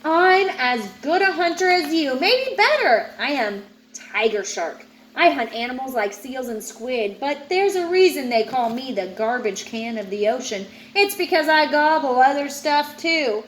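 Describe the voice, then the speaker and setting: A woman's voice: high voice, expressive, measured pace, few pauses, a little hiss or hum, rough recording. A woman voicing a cocky, garbage-gobbling tiger shark in a children's storybook, bragging matter-of-factly. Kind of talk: children's picture book read-aloud.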